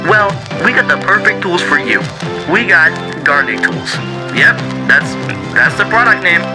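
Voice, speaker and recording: A man announcing and pitching products in a mock 1940s radio commercial. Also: rough recording. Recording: loud music under the voice. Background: loud music.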